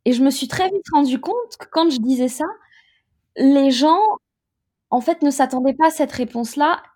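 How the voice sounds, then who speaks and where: A woman in her thirties from Côte d'Ivoire, recounting her own experience as an interview guest in a reflective, measured way with frequent hesitations. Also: high-pitched, a little mellow. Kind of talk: personal testimony in an interview.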